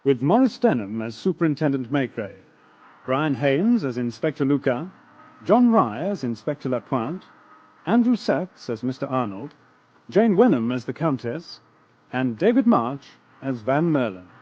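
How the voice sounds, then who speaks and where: A man's voice: mid-range voice, sing-song, unhurried, a little mellow, lo-fi sound. A man reading scripted opening credits as a radio drama announcer.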